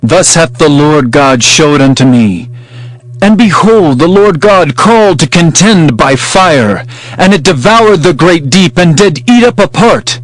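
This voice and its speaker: A man's voice: on the high side, lively intonation, unhurried, poor audio. A man playing an earnest, humble herdsman prophet, speaking solemnly and declaiming in a dramatized scripture reading. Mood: awed.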